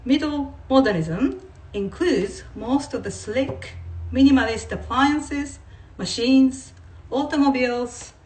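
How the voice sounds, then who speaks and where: A woman reading non-fiction aloud in a measured, deliberate way, like a writer presenting a text. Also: high voice, a little mellow. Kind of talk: read-aloud of non-fiction with brief informal asides. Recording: voice distant from the mic.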